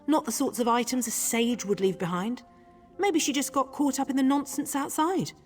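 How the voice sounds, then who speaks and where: An actress playing a helpful, chatty, slightly scatterbrained guesthouse keeper at an academy in a video game. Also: female voice, sits high, normal speed, lightly acted. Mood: puzzled, helpful. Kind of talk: video game character acting. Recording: long hall reverb, light music.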